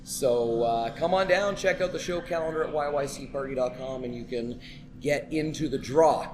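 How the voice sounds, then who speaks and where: An enthusiastic man from Canada co-hosts a sports chat, his throat scratchy and his talk full of cheerful plugs. Clear background chatter and room noise fill the pub behind him. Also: mid-range voice, normal speed, a little mellow. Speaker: co-host and karaoke host.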